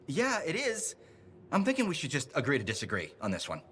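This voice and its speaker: A man's voice: on the high side, wildly swooping pitch, fairly quick, fairly bright tone, a little hiss or hum. An actor playing an eager, needy, over-friendly chatty man in a video game. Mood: eager, chummy. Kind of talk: video game character acting.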